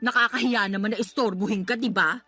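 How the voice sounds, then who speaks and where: A woman's voice: medium pitch, fast talker, pushed and bright, faint music behind. A woman acting a feisty, touchy, sarcastic young girlfriend in a radio love-story drama. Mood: sulky, sarcastic. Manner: sulky, sarcastic, combative.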